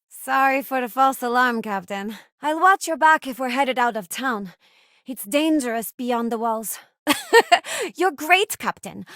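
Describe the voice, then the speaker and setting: A woman's voice: a little high, a bit bright, no echo. Young space crew woman for a video game, earnest n frank, idealistic, really dry studio sound. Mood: frank, casual. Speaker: video game companion character. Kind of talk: video game voice acting, short character lines.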